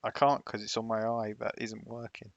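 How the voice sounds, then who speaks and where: A man's voice: lowish voice, level tone, normal speed, no echo, highs cut off. A man with a Sunderland accent hosting a geography guessing game stream, bantering bluntly and repeating his jokes. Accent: Sunderland English. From Sunderland, England. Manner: blunt, joking, repeats himself. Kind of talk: casual banter while playing.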